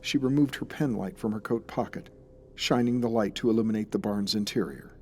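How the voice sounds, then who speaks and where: An actor playing a grave storyteller, narrating a friend's dark case in a scripted audio drama. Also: male voice, lowish voice, fairly quick, slight background noise, close to an everyday voice. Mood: grave, ominous.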